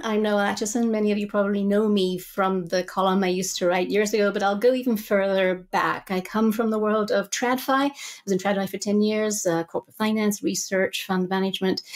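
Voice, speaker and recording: A middle-aged woman from Zambia talking conversationally as a podcast guest, explaining things like an analyst. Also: medium pitch.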